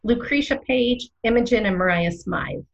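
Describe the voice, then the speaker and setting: A woman's voice: fairly high pitch, normal speed, highs cut off, close to an everyday voice. A woman playing an opinionated, theatrical co-host of a theatre prediction show, speaking with emphatic, rhetorical phrasing in scripted banter. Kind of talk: scripted podcast banter and commentary.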